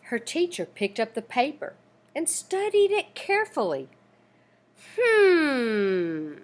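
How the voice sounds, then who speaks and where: A woman reading a children's picture book aloud in an expressive, deliberate, dramatic storytelling manner. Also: high voice.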